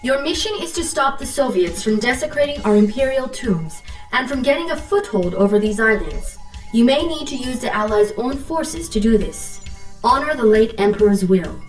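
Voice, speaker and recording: Game intelligence officer: actress as a formal, clipped military woman, high-pitched, roomy and distant. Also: lively intonation, moderate pace, natural voice. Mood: solemn, formal. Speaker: mission briefing officer and battle announcer. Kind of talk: scripted game briefing and announcements. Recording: roomy, distant, loud music behind.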